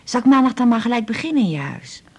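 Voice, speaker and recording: A woman's voice: a little high, very animated, fast talker, darkish tone, slight background noise. An actress playing an anxious, proud middle-aged widowed mother with a Netherlands Dutch accent in a radio drama, speaking hesitantly and plaintively. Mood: stubborn, conciliatory. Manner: hesitant, repeats words, plaintive. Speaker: supporting actress in radio serial. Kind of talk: 1980s radio drama dialogue.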